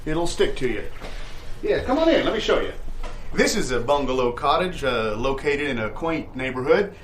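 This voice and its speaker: A man acts out a rambling, folksy landlord in improvised audio theatre. He pitches a shabby rental with halting salesmanship, hedging and losing the end of his sentences as he goes. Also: big pitch swings, slight background noise, natural voice. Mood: eagerly welcoming, then salesmanlike.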